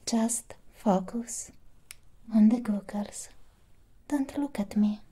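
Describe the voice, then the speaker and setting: A woman playing a soothing, protective female robot doll in an ASMR roleplay, giving hushed, whispered instructions close to the mic. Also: fairly high pitch, takes it slowly, breathy edge. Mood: hypnotic, calm. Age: young adult. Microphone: close ASMR microphone.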